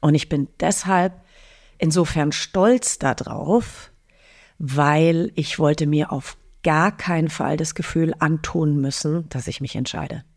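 Podcast host, female, middle-aged, a little low in pitch, candid and colloquial. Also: takes it slowly. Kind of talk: intimate podcast conversation.

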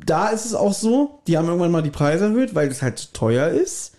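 A man's voice: fairly high pitch, sing-song, fairly quick, mellow. A man hosting a casual podcast discussion, explaining things in a matter-of-fact way. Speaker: podcast host.

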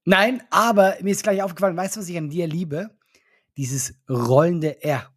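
A man's voice: high-pitched, normal speed. A man co-hosting a podcast in casual chat, hesitant, with an up-and-down way of speaking. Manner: hesitant, restarts, up-and-down intonation. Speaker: podcast co-host. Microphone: studio microphone.

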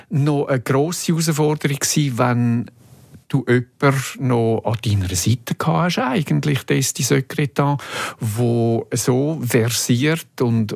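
Creaky-voiced man asking questions. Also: mid-range voice, no room sound. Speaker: interviewer, host. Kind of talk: conversational interview, asking reflective questions.

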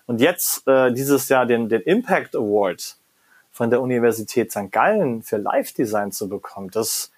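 A middle-aged German man, a professor, answering interview questions in a reflective, animated way and often ending phrases with "ja?" or "ne?". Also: mid-range voice, moderate pace, breathy edge. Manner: reflective, animated, frequent "ja?" and "ne?" tags. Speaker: guest, professor of creativity and design.

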